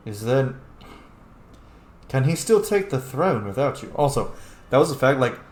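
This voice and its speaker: A man's voice: mid-range voice, very animated, normal speed. A man giving casual, opinionated gameplay commentary in a chatty, informal way. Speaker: game streamer. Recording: roomy, echoing microphone sound.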